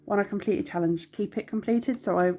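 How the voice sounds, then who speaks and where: A woman's voice: mid-range voice, fairly quick, dark tone, a little hiss or hum. Chatty woman with a cold. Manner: chatty, rambling, many ums, apologetic. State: congested with a cold, nasal. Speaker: budgeting vlogger. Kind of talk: casual chat to camera.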